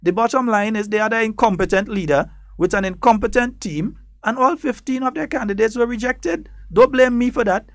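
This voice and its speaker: A man's voice: extremely high pitch, a little fast, few pauses, no room sound. A man with a Trinidadian Grenadian accent, hosting a political talk show in a studio, emphatic and defiant, repeating points for effect. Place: radio studio.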